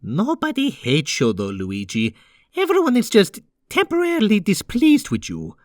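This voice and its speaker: A man playing a reassuring, easygoing older-brother plumber in an animated comic dub, talking casually with a teasing streak. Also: sits high, moderate pace, no echo, clean studio sound.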